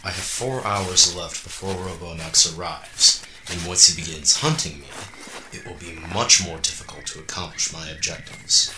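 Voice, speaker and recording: Casting: male actor as amnesiac cyborg hunter, very bright hard-edged low voice, formal, radio drama, faint noise. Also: normal speed, rough recording. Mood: cold, clinical. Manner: formal, clinical. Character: Shadow, cold formal amnesiac half-robot male hunter. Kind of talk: amateur radio drama log monologue.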